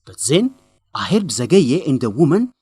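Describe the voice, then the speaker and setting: Earnest male journalist, high-ish voice, animated intonation, deliberate radio drama delivery, dry studio sound. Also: husky, no echo. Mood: serious, recounting. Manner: deliberate, simply worded, expressive delivery. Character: earnest young male journalist breaking bad news to a friend. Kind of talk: English-learning radio drama acting.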